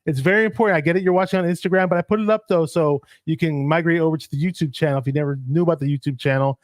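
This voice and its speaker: A man whose first language is Arabic, co-hosting a casual sports livestream and shouting out viewers in the chat. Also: on the high side, fairly even intonation, very fast, no echo, clean studio sound. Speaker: podcast co-host.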